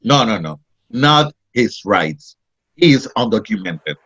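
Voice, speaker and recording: A man's voice: fairly low pitch, sing-song, measured pace, fairly bright tone, slightly rough, heavily acted. Man playing an indignant Puerto Rican man. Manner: indignant, sarcastic. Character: Puerto Rican man raised in New England, indignant, fussy. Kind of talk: play reading. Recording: dull lo-fi audio.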